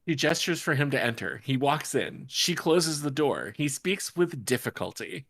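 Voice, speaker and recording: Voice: mid-range voice, big pitch swings, moderate pace, fairly bright tone. A podcast host reading out script stage directions at a casual table read, with sarcastic joking commentary and frequent swearing.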